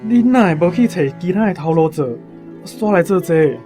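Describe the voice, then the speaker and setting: A man's voice: high voice, lively intonation, normal speed, mellow, faint music behind. A man with a Taiwanese accent playing an earnest, hot-blooded young soldier in a radio drama.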